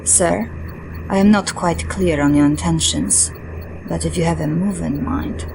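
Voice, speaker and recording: Composed Soviet military aide, noisy background. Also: female voice, on the low side, unhurried. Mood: crisp, businesslike. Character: loyal, composed female Soviet military aide briefing the commander. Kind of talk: game briefing acting.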